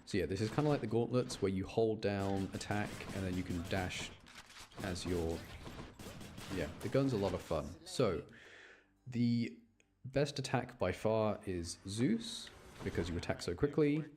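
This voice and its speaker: A man's voice: lowish voice, unhurried. A man doing casual, chatty live gameplay commentary, explaining mechanics and muttering to himself, with game sound behind him. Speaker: game streamer. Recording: clear background noise, game sounds behind. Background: video game sound.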